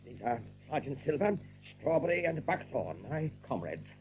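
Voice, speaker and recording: Wary, courteous rabbit major, very animated. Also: male voice, on the high side, moderate pace, soft background music, poor audio. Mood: polite, hesitant. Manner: polite, hesitant, questioning. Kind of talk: dramatised audiobook acting.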